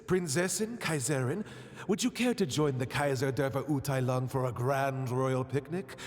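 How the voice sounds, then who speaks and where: A man's voice: mid-range voice, moderate pace, lightly acted. A man voicing a playful father who grandly poses as a theatrical emperor in a video game, with pompous, florid delivery. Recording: long echoing hall reverb.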